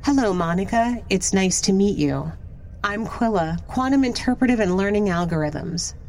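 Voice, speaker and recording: A woman's voice: medium pitch, animated, moderate pace, a little mellow, slight background noise, natural voice. A woman playing a polite, analytical artificial intelligence in an audio drama, speaking formally and precisely. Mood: polite, explanatory.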